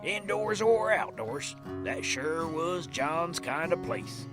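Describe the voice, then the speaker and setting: A man's voice: fairly high pitch, lively intonation, takes it slowly. A man playing a folksy storyteller, narrating a Western comedy audio drama in wry, florid prose. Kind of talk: scripted narration. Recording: loud plucked guitar music under voice. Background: plucked guitar music.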